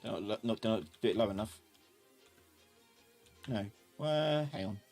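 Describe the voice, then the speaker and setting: A man's voice: on the low side, unhurried, a little mellow. Man giving a casual live tutorial, music behind. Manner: informal, loose step-by-step explaining. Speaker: instructor of a live software class. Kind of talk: casual live tutorial, thinking aloud while demonstrating.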